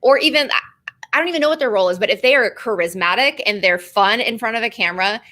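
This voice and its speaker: Woman, 30s, interview guest, bright tone, casual and talkative. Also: fairly high pitch, fast talker. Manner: chatty, says "like" often, laughs easily. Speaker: guest, video marketing expert.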